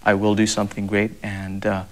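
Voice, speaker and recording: A man's voice: fairly low pitch, monotone, fairly quick. A young man from Zambia, answering interview questions earnestly, with many fillers and repeated words. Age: young adult. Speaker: guest.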